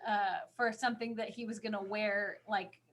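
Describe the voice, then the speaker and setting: A woman's voice: mid-range voice, steady tone, normal speed, a bit bright. A woman co-hosting a casual fan podcast, talking in an enthusiastic, gushing and emphatic way. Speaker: podcast co-host.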